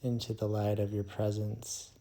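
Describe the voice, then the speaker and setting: A man leading a guided meditation in a soothing, hushed manner, leaving long pauses between phrases. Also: fairly low pitch, monotone, creaky.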